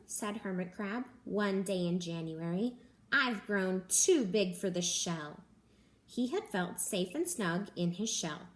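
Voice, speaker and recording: A young woman, a teacher, reading a picture book aloud to children at home in a gentle, animated storytelling manner. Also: mid-range voice. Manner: gentle, animated storytelling with gasps and character voices. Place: living room at home.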